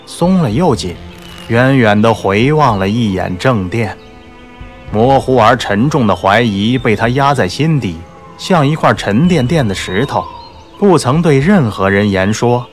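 A man narrating a novel as a scripted audiobook, in a measured, literary storytelling manner. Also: mid-range voice. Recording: soft background music under the voice.

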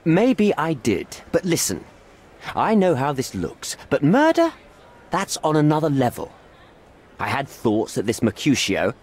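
Casting: middle-aged diplomat in a video game, cornered and evasive, highly animated intonation, placating and formal. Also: male voice, a little slow, slightly rough, heavily acted. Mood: anxious, pleading. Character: cornered middle-aged British envoy, evasive, smooth-talking, pleading. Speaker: British envoy. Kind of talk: video game cutscene acting.

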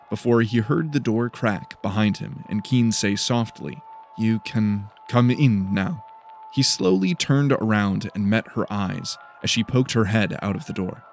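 Man narrating dramatic fiction, low-key music behind. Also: gravelly. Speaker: audiobook narrator. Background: faint music.

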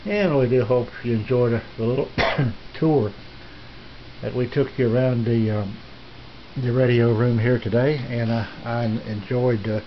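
A man giving a casual, rambling hobby video tour in a halting, folksy way, speaking from behind a handheld camera in a small room. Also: medium pitch, fairly even intonation. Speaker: amateur radio hobbyist presenting his equipment. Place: small indoor home radio room. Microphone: handheld camera's built-in mic, behind the lens. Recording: dull lo-fi camera audio, noisy room.

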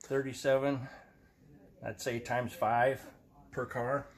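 A man's voice: medium pitch, level tone, slow pace. Matter-of-fact man explaining model trains. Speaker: train collector guest. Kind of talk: casual explaining. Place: large indoor storage warehouse.

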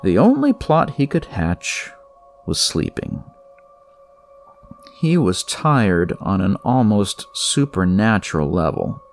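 A man narrating scripted horror fiction in a measured way, with dramatic pauses. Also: lowish voice, sing-song, vocal fry, soft background music.

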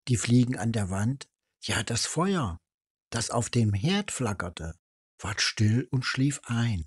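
A man's voice: medium pitch, slightly rough, no echo, studio quality. A man reading a fairy tale aloud as a storyteller. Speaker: storyteller, channel host.

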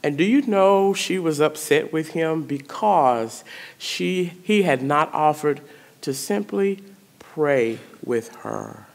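A woman's voice: deep voice, animated, slow, vocal fry. A middle-aged woman priest, preaching a sermon in a church sanctuary, speaking conversationally and putting questions to the congregation. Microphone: stand mic in front of pulpit.

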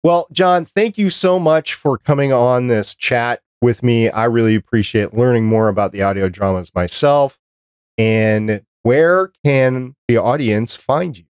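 A man's voice: medium pitch, measured pace, smooth, dry recording, studio quality. A middle-aged man hosting a podcast chat, explaining things in a matter-of-fact, conversational way. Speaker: podcast host. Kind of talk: conversational interview and advice. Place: small cluttered home office, video call. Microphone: desk microphone close up, headset.

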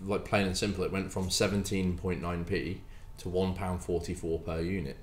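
A man in his forties, a baker and bakery owner, talking in a casual podcast interview, animated and emphatic, often repeating words as he restarts. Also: deep voice, normal speed. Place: bakery room with hard walls. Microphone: desk mic on stand. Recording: roomy echo, faint room noise.

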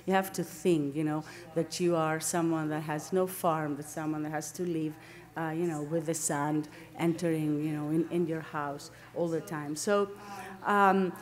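A middle-aged woman from Greece with a Greek accent gives an academic seminar lecture in a halting way, searching for words and correcting herself. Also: deep voice, fairly even intonation. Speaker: academic lecturer, landscape architect. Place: indoor lecture room, at a lectern.

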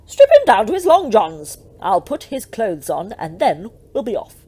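A woman's voice: mid-range voice, wildly swooping pitch, moderate pace, dark tone, husky. A woman playing a gleeful, bossy, scheming devil in a comic audio drama, theatrical and gloating.

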